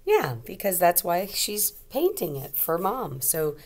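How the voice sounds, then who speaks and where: A woman's voice: on the low side, wildly swooping pitch, unhurried, a little mellow. A woman teacher leading a read-aloud lesson for young children, explaining simply and asking them questions.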